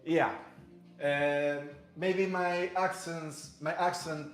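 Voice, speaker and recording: A man with a Spanish accent leads a workout, his voice a bit high for a man and his pace slow as he stops and corrects himself while cheering the viewer on. He is recorded in an echoey room with faint music in the background. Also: steady tone. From Cuba. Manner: halting, self-correcting, encouraging. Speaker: fitness coach. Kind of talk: workout instruction.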